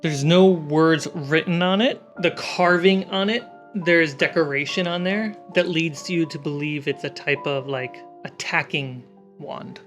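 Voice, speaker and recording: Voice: medium pitch, unhurried. A game master narrating a tabletop roleplaying game, describing scenes piece by piece. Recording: background music under the voice. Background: music.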